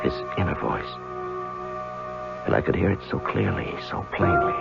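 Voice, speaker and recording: A troubled lawyer in an old radio drama, his voice very deep and creaky. He speaks haltingly, doubling back on his words as if weighed down. The broadcast sound is dull. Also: lively intonation, exaggerated voice. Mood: brooding, introspective. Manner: halting, repeats himself under strain. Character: troubled married lawyer, anguished, hesitant, devoted to ailing wife. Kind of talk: 1970s radio drama acting with introspective narration. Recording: muffled old radio broadcast, loud music.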